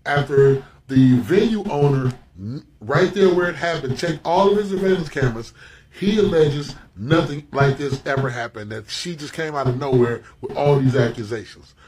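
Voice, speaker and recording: Brief: insistent male social-video commentator, mid-pitched, far from the mic, echoey room with a crowd behind. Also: expressive, normal speed. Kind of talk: recorded social video rant.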